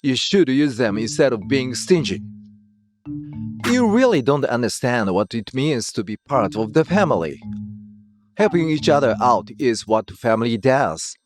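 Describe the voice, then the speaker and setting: An actor playing a self-righteous, clueless husband who scolds and dismisses, in a dramatized manga dub. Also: male voice, mid-range voice, sing-song, unhurried. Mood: scolding, dismissive. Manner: scolding, indignant, condescending. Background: music.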